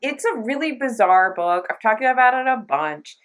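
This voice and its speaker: A woman's voice: on the high side, sing-song, normal speed. A woman hosting a book review channel, chatting casually to camera in a gushing, emphatic, informal way with mild slang.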